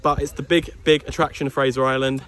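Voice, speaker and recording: A man's voice: mid-range voice, level tone, quick pace. A man in his twenties chatting cheerfully into a phone held at arm's length, vlogging a road trip outdoors and in a van. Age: 20s. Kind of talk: upbeat travel vlog chat. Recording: loud music underneath.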